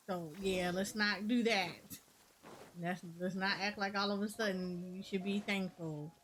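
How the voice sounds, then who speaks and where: A woman comments casually as she plays a game, in a medium-pitched voice. She is sassy, throwing out short asides and repeating herself to drive the point home. A little noise sits in the recording. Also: fairly even intonation, measured pace, fairly bright tone. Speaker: gaming content creator.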